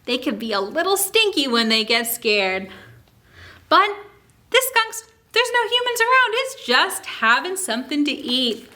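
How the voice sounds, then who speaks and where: Lady doing storytime for little kids, kinda high voice, really slow and clear read aloud, stops to ask questions. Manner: asks listeners questions, expressive teaching delivery. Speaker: children's librarian, story time host. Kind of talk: picture book read-aloud with explanations to young children.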